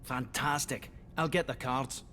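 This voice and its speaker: A friendly young starship engineer in a video game. His voice sits at medium pitch, cheeky, over faint ambience. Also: fairly even intonation, measured pace, bright, forceful tone, a little hiss or hum. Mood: cheerful, joking. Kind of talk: video game cutscene acting.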